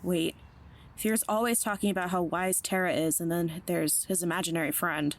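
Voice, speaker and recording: A woman playing an earnest, worried friend in an audio drama, with a creaky voice. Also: lowish voice, fairly even intonation, moderate pace, close to an everyday voice. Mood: uncertain. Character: Olivia, earnest worried human friend pleading for help.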